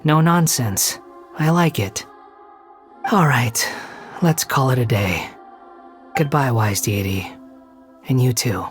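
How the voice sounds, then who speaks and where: A man's voice: mid-range voice, takes it slowly, long pauses, soft background music, close to an everyday voice. Man playing a cool, aloof, proud young man. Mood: defiant, cool. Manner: cool, detached, sardonic. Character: proud, aloof, bitter young man, former villain seeking amends. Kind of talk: video game voice acting.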